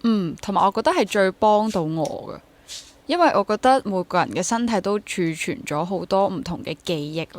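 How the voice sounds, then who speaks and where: A woman's voice: medium pitch, animated, normal speed, slight background noise. A woman in her twenties with a Hong Kong Cantonese accent, talking casually and reflectively as an interview guest and mixing in English words. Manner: mixes English words into speech. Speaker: interview guest, stage actor and drama teacher.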